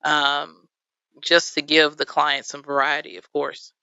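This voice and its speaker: A very bright, crisp woman with a Texan accent, a videographer talking as a guest on the radio. She chats along with plenty of fillers and easy laughs, and her voice sounds slightly dull and dry from the studio. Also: deep voice, monotone, unhurried, no echo. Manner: chatty, many fillers, laughs easily. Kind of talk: radio interview.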